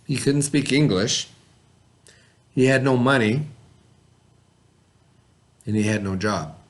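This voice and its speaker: Man teaching a children's read-aloud lesson. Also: mid-range voice. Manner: patient, asks children questions and pauses.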